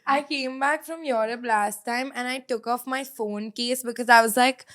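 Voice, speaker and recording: A woman's voice: fairly high pitch, normal speed. A young woman from India, co-hosting a podcast and dropping dry, sarcastic jokes. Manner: dry, deadpan sarcastic quips. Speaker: podcast co-host. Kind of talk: casual chat.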